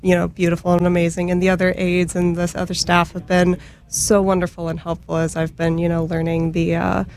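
A woman's voice: on the low side, completely flat delivery, a little fast, a little mellow, a little hiss or hum. A young adult woman, a guest on a radio show, talking in a hesitant way with many fillers. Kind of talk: casual radio interview.

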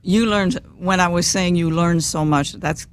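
Belgian woman, French native, warm enthusiastic radio guest, faint background hiss. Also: on the low side, steady tone, a little slow. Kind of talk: casual interview chat.

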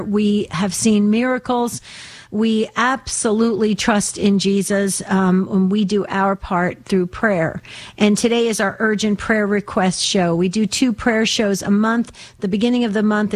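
Female faith radio call-in host, mid-pitched, slightly raspy, chatty and earnest. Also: steady tone, moderate pace. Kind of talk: conversational religious radio hosting.

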